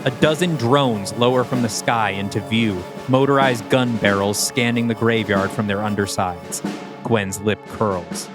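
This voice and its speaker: A man's voice: lowish voice, a little slow. Man narrating an audio drama over music. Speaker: narrator reading stage directions.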